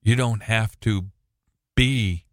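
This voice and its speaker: Slow-preaching man with a booming voice. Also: a bit bright, dry recording, clean studio sound. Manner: slow, deliberate preaching with frequent ums. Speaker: pastor. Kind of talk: sermon and scripture reading. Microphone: computer microphone.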